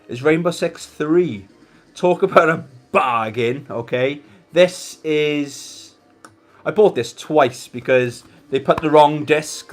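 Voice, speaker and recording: A man with a Welsh accent hosting a casual gaming live stream, chatting in a rambling, jokey way to his viewers. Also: a little high. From Wales.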